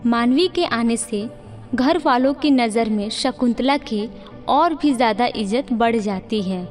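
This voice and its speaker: A woman narrates a cartoon moral story from a script. Her voice is very high and her delivery is slow, with background music running beneath. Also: darkish tone.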